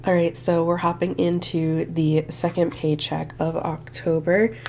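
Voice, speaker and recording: A young woman walks through her budget in a friendly, think-aloud way, her voice a little nasal from congestion. She speaks in a low, mellow tone with very little rise and fall. A faint hiss sits under the recording. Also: flat delivery, unhurried. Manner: friendly, thinks aloud while working numbers. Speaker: budgeting channel host. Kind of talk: casual budgeting walkthrough to camera.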